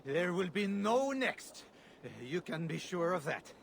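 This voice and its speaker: An actor playing a sly, bargaining defeated enemy in a fantasy video game cutscene. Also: male voice, high voice, very animated, slow pace, gravelly, background noise, full character voice. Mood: wary. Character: sly, bargaining male enemy pleading for survival.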